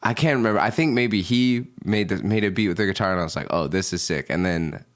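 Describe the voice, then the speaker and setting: Low-voiced laid-back young man. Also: fast talker. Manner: laid-back, rambling, heavy on "like" fillers. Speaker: guest musician. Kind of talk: casual interview chat.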